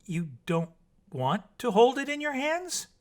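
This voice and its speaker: Guy doing a young mermaid for a bedtime story, slow emphatic words, puzzled by land, pitched way up. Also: big pitch swings, heavily acted. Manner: halting, simple learner's phrasing, emphatic.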